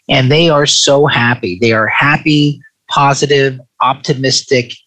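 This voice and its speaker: A man's voice: medium pitch, a bit bright, poor audio. A man in his forties with a mid-range voice talks in a reflective, conversational interview style. He drives points home emphatically, repeating his phrases as he goes.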